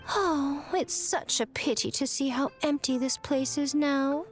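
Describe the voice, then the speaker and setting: A woman's voice: high-pitched, a little slow, faint music behind. A woman voicing an elegant, sly shrine maiden in an anime-style game, arch and teasing with mock innocence. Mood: mock sympathy.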